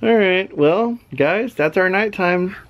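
A man's voice: high-pitched, slow, lo-fi sound. A man in his thirties talks as a family-vlogging dad. His delivery is casual and chatty, aimed at a camera held at arm's length in a bedroom. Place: small bedroom, lying on a bed. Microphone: handheld camera at arm's length.

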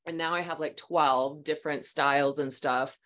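Woman in her forties, lowish voice, animated storyteller, chatty, video call from a small home room. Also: normal speed. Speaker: host, sound healing practitioner. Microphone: video call webcam mic.

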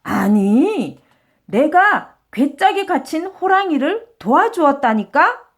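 Woman playing an earnest high-pitched storybook traveler. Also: sing-song, takes it slowly, heavily acted. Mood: flustered explaining. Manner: earnest, exclamatory, old-fashioned phrasing. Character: kindly, indignant male traveler, flustered but earnest. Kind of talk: children's storybook character voice.

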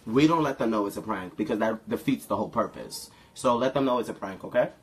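A man's voice: fairly low pitch, quick pace. A young man in his twenties, casually chatting on a home livestream in a brash, profane, campy way. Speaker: livestream co-host. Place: living room at home.

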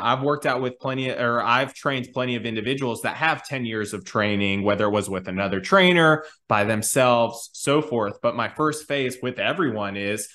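A man's voice: mid-range voice, fairly bright tone. A man in his thirties hosting a conversational podcast on a video call, explaining his points with emphasis and rephrasing as he goes. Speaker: podcast host, fitness coach.

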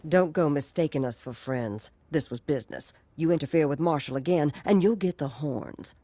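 An actor playing a shrewd, folksy woman executive with cool menace and a measured delivery in a video game cutscene. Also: low voice, dark tone, no room sound. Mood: cool, warning. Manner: measured, folksy turns of phrase.

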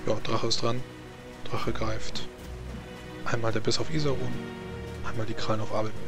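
A man's voice: fairly low pitch, little pitch movement, moderate pace, over loud music. Man running a tabletop game. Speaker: game master. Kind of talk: running an online tabletop role-playing game.

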